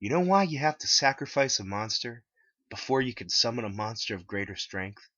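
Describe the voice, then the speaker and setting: A man's voice: mid-range voice, lively intonation, brisk, sharp tone, no echo, dull audio. A man voicing a cocky, blunt internet troll who talks in a casual, challenging way in a dramatic story reading. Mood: cocky, curious.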